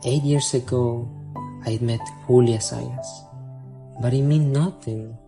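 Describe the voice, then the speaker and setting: Guy playing a brooding thirtysomething bachelor in a radio play, measured and earnest, music clearly under him. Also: medium pitch, steady tone. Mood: wistful, pensive. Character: pensive, conflicted bachelor in his thirties, engaged to marry. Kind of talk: radio drama acting, reflective monologue. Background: prominent music.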